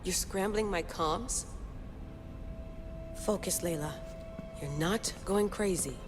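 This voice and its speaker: An actress playing a driven young modern-day researcher in a video game, wry and puzzled, muttering to herself. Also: female voice, lowish voice, slow. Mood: bewildered. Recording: music behind the voice.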